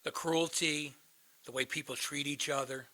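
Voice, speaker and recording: A man's voice: fairly high pitch, steady tone, moderate pace, bright, forceful tone. An actor playing a bitter, sardonic man in a radio play, blunt and sarcastic. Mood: bitter, guarded. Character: bitter, sardonic man, crisis counselor posing as suicidal caller.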